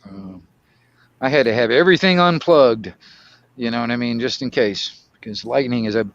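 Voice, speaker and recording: A man's voice: medium pitch, moderate pace, a bit bright, no echo. Middle-aged American man, dull lo-fi sound. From Florida, United States. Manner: rambling, hesitant storytelling with slangy, mildly profane speech. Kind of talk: casual chat.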